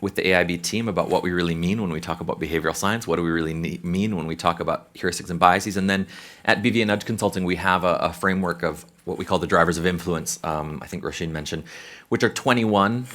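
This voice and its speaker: A man's voice: low voice, quick pace, a bit bright. An American man, a consultant, answering questions on a conference panel in a thoughtful, conversational way with frequent restarts. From United States. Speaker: behavioral science consultant.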